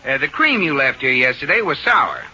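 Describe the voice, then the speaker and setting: Self-assured, gentle husband, slightly high voice, muffled vintage radio comedy. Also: male voice, huge pitch swings, moderate pace, full character voice. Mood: polite, mild. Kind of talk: 1940s radio comedy acting. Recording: muffled old radio recording, loud noise.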